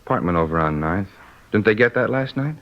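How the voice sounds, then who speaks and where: Casting: rueful hungover male salesman, low voice, slangy and groggy, 1950s radio drama, dull lo-fi sound. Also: animated, brisk. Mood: uneasy. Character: hungover man, sheepish salesman and war veteran under police questioning. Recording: dull lo-fi old radio recording.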